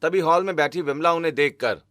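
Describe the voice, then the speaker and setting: Guy narrating a cartoon story, slightly high voice, bit of music behind. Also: brisk, fairly bright tone. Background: background music.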